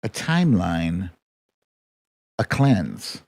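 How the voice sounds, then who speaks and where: A man's voice: deep voice, sing-song, extremely slow, creaky, darkish tone, husky. A man hosting an online live show, chatting casually and playfully, straight to his viewers. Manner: playful, informal, addresses viewers directly.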